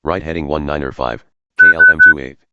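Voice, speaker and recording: A man's voice: rumbling low, wildly swooping pitch, a little fast. A man giving clipped aviation phraseology over an air traffic radio transmission. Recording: dull, band-limited air traffic radio.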